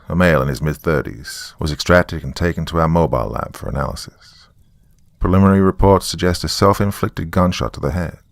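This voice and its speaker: A man narrating a scripted horror story in a grave, deliberate, dramatic way. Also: very deep voice, creaky, no room sound.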